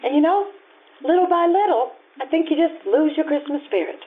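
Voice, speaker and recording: A woman's voice: high-pitched, animated, brisk, phone-quality sound. An actress playing a harried, wistful mother and housewife in old-time radio drama style, telling her story expressively. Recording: thin radio-like sound with music.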